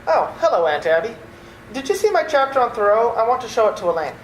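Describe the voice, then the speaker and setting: An exasperated nephew performed by a woman in an old-time radio play. The voice is high and animated, wry and easily set sputtering. It rings in an echoey room with slight noise. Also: fast talker. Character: exasperated, flustered nephew, an adult man.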